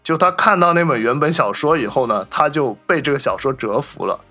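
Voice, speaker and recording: A man's voice: mid-range voice, lively intonation, fairly quick, sharp tone, soft background music. A man hosting a podcast, casually telling film anecdotes and acting out the remarks he reports. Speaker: podcast host.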